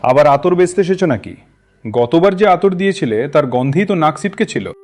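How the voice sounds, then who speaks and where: Man playing cartoon landlord, arrogant, scornful, threatening, short-tempered, raised pitch. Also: lively intonation, fairly quick, a little mellow, close to an everyday voice. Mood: sneering. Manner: mocking, scolding, threatening. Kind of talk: Bengali cartoon fairy-tale voice acting.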